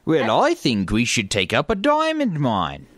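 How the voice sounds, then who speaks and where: A man's voice: medium pitch, huge pitch swings, measured pace, fairly bright tone, no echo, exaggerated voice. A man voicing an earnest, scheming leprechaun who argues eagerly in a comic radio play. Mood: argumentative. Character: earnest, scheming male leprechaun council member.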